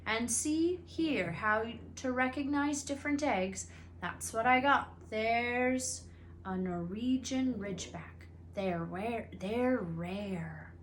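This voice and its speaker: A woman voicing a big, excitable male gamekeeper in a storybook, eager, folksy, rambling and doting. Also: a little high, slow, slight background noise. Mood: eager, pleased.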